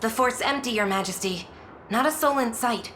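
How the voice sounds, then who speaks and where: An actress playing a dutiful fantasy soldier in a video game. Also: female voice, on the high side, normal speed, pushed and bright, slight background noise. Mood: brisk. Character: dutiful female fantasy soldier, reporting to royalty.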